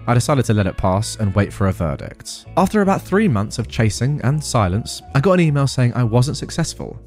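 A man hosting a show where he reads listener posts aloud and gives his verdict in a lively, conversational way. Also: mid-range voice. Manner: lively storytelling with emphatic slowed quotes. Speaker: host reading and judging listener posts. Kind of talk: reading forum stories aloud with commentary.

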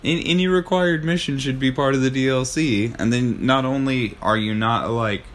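A man's voice: medium pitch, moderate pace, fairly bright tone. A young man co-hosting a podcast, giving blunt, sweary opinions in casual chat. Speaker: podcast co-host.

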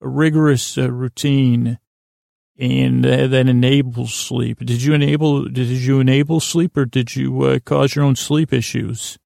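A man hosting a podcast in a meandering, halting monologue, often repeating words and restarting sentences, with a self-deprecating manner. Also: mid-range voice, steady tone, vocal fry, dark tone, no room sound, clean studio sound. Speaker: podcast host. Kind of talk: rambling monologue introducing a sleep podcast.

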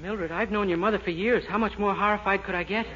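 Quick-talking, eager young American sitcom boyfriend man acting. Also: high-pitched, fairly even intonation, lightly acted. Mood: coaxing. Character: curious, naive young man coaxing his girlfriend. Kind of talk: 1940s radio comedy acting. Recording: noisy, lo-fi 1940s radio recording.

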